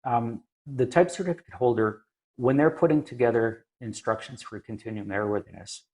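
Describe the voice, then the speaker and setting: Guy with a lowish voice explaining stuff in a chat, measured, kinda halting as he thinks it out. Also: a little mellow. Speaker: aviation maintenance engineer and inspector, podcast regular. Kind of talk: conversational explanation.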